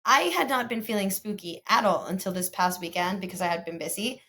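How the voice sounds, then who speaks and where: A young woman talks easily as a podcast co-host, relaxed and quick to laugh. Her voice sits at a middle pitch, and she speaks in a casual conversational way. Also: husky. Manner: laid-back, laughs easily. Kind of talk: casual chat.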